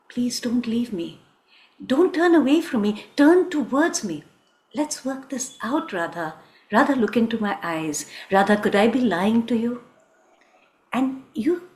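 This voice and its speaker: A woman storyteller voicing a male lover of legend, earnest and coaxing, with dramatic pauses. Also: a little high, a little mellow. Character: pleading, sweet-talking male lover of folk legend. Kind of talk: theatrical oral storytelling. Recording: echoey room.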